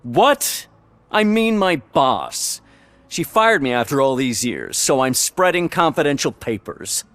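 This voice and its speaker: Lively, bitter fired office worker. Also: male voice, on the high side, unhurried, no room sound. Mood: bitter, defiant. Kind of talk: video game acting.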